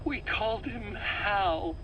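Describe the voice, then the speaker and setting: High, very slow, anguished captive. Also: male voice, expressive, bright, forceful tone, slight background noise, exaggerated voice, low-quality recording. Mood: anguished, halting. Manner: halting, stammering. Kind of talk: dramatic game dialogue.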